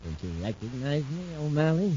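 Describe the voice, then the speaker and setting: An actor playing a weakened, obsessed spacer in a 1950s radio drama, brooding and intense. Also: male voice, medium pitch, sing-song, moderate pace, dark tone, a little hiss or hum, close to an everyday voice. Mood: insistent. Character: thin, weakened, obsessed male spacer seeking his old partner. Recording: muffled old-time radio recording.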